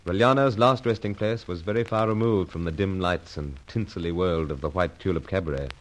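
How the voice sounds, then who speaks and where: An actor playing a gallant, composed secret agent with gentlemanly phrasing in a radio serial. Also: male voice, sits low, fairly quick, natural voice, low-quality recording. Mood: grave narrating. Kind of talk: radio serial acting and narration.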